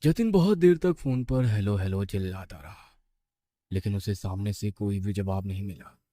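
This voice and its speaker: A man's voice: fairly low pitch, moderate pace, warm tone, no room sound, studio quality. A man narrating a scripted horror story with suspenseful, dramatic phrasing.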